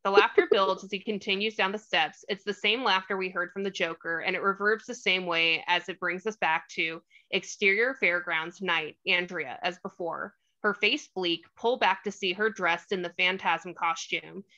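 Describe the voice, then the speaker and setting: A woman's voice: lowish voice, pushed and bright, highs cut off. A woman reading stage directions as scripted narration at a table read.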